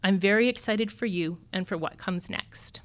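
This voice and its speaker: A woman teacher giving a graduation speech as a rhyming poem, in a sing-song rhythm that slows on key lines. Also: mid-range voice.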